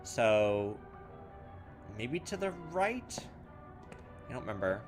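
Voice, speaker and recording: A man's voice: fairly low pitch, big pitch swings, slow, over music. A man playing a horror game and reading its text aloud, thinking aloud and making fun of his own mistakes. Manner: self-mocking, thinks aloud, loses his way. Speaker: let's play gamer.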